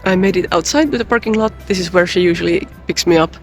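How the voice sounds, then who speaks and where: Gravelly young man vlogging. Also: high-pitched, quick pace, soft background music. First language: Finnish. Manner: hesitant, many ums and uhs. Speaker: convention artist vlogger. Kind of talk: casual travel vlog.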